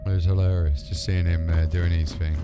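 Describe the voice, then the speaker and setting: A British man with a deep voice commentates casually on a video game as he plays. He talks informally, as if thinking aloud to viewers. Loud music plays behind his voice throughout. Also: level tone, fairly quick. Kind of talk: casual live gameplay commentary.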